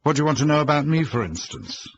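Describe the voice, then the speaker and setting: A vain, lazy young man, as a reader puts him on, teasing and offhand, pitched a little above a plain reading voice. The recording is slightly muffled, with faint hiss. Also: very animated, moderate pace, vocal fry.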